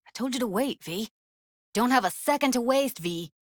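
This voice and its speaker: A woman's voice: on the high side, animated, moderate pace, dry recording, clean studio sound. A woman voice-acting a tough, blunt but tender-hearted young techie in a video game, with clipped, slangy, sweary phrasing. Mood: urgent, focused.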